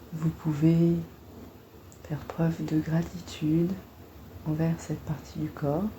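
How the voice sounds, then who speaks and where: A woman guiding a body-scan meditation in a gentle, soothing, unhurried manner, leaving long pauses between phrases. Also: sits low, a little hiss or hum, rough recording.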